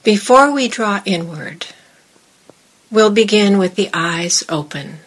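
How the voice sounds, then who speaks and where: A woman meditation teacher leading a guided meditation, giving measured instructions in short phrases with long pauses. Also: medium pitch, animated, husky.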